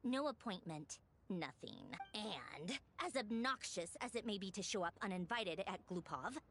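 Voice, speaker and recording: A woman's voice: mid-range voice, very animated, measured pace, a bit bright, slight background noise. A woman playing a poised, haughty and teasing noblewoman in a video game, refined, languid and condescending.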